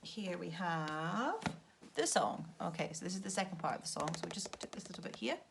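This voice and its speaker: Creaky-voiced woman teaching young children. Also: on the low side, sing-song, a little fast, background noise. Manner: asks simple checking questions. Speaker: primary school teacher. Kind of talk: read-aloud lesson explaining a task to children.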